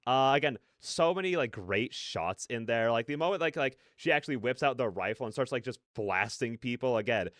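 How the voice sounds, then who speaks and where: A man hosting a reaction video, giving casual, excited commentary in a rambling, repetitive way with stammered emphasis. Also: medium pitch, a little fast, hardly any pauses, no room sound, clean studio sound.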